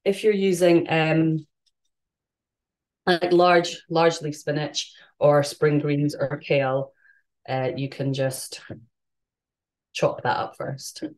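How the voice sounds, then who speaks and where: A woman's voice: deep voice, little pitch movement, slow, long pauses, darkish tone, rough recording. A woman in her thirties with a London accent, chatting casually while cooking live in her home kitchen, rambling with lots of fillers and restarts. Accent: London English. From England. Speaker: vegan cook and host. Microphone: camera on the counter, a few feet away.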